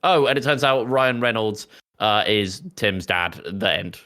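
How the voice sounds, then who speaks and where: A man hosts a casual film podcast with a forceful, bright voice that sits fairly low. He talks in a jokey way, like a friend recapping things for the group. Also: measured pace. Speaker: podcast host.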